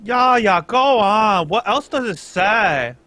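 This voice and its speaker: Man playing a grand, highish-voiced king, close and dry. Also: expressive, slow pace, bright, forceful tone, dry recording, exaggerated voice. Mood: anxious, urgent. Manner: grand, formal, emphatic. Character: a pompous, anxious, grateful European king. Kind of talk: amateur old-time radio drama reading.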